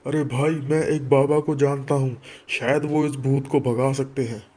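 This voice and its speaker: A man acts a worried villager in an animated moral tale. He talks earnestly, in an everyday conversational way at medium pitch. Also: a little slow, close to an everyday voice. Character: worried village men discussing a ghost.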